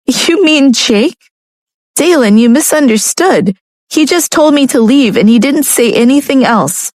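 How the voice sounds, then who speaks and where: A woman's voice: expressive, a little slow, a little mellow, dry recording, clean studio sound. Woman voicing a tearful pleading young wife. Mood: distraught. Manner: high pleading voice.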